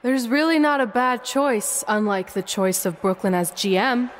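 A woman's voice: on the high side, normal speed, slight background noise, natural voice. A woman playing a wry young champion wrestler in a video game, dry and conversational. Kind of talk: video game acting, narration and dialogue.

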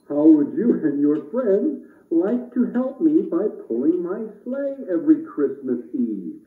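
Man playing high-voiced, lively old Santa puppet. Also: slow. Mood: jolly, curious. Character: jolly, kindly old male Santa. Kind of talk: children's puppet show. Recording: echoey, tinny, distant camera.

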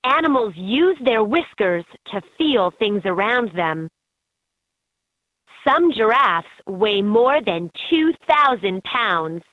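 A woman voicing an encouraging guide in a children's educational game, narrating in a clearly enunciated, instructive way. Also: medium pitch, big pitch swings, slow, dry recording. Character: encouraging female guide in a kids' animal learning game. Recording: muffled lo-fi handheld game audio clips.

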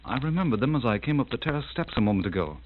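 An actor playing a dazed, anxious adventurer in an old 1940s-style radio drama, earnest and agitated. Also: male voice, medium pitch, sing-song, racing, close to an everyday voice. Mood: dazed, groping. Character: dazed, anxious adventurer searching for a kidnapped woman. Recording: narrow, tinny, hissy old radio recording.

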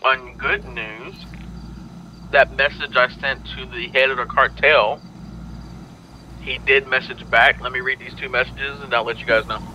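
A man's voice: medium pitch, fairly even intonation, a little slow. A man chatting casually in an online roleplay game, plain-spoken and directing the others. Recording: dull lo-fi headset audio, faint noise.

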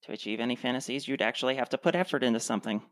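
Woman playing a deadpan, jaded girlfriend. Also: a bass voice, racing, music in the background. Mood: bored. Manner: deadpan, sarcastic. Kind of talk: comedic radio play acting.

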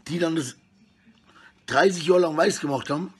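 A middle-aged man with a husky voice rambles casually and coarsely in slang, talking to his phone at arm's length indoors. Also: a little high, moderate pace. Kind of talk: rambling casual selfie monologue. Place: small indoor room at home.

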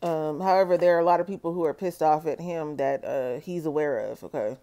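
A woman doing a solo tarot reading for her viewers, talking slowly and checking in with "okay?". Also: sits low, mellow. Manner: slow, measured, repeats "okay?" to viewers. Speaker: tarot reader.

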